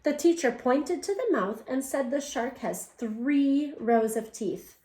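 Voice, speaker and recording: Woman teacher, bilingual read-aloud for young kids, slightly high voice, echoey room. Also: expressive, warm tone. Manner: reads in English then Spanish, pauses to ask children questions.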